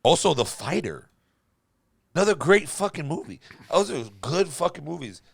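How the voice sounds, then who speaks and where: A man's voice: fairly high pitch, big pitch swings, normal speed, gravelly, husky, dry recording. A man hosting a podcast, talking in casual, slangy chat with friends. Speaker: podcast host.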